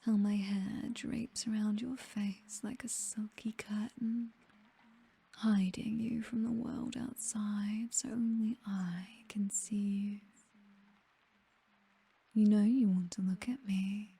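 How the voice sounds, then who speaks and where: A woman acts out a seductive British vampire mistress for an ASMR roleplay. Her voice is a very breathy, dark whisper, unhurried and hypnotic. She is possessive and commanding, yet tender as she coaxes. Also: medium pitch, fairly even intonation, full character voice. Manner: slow, coaxing, hypnotic, teasingly commanding.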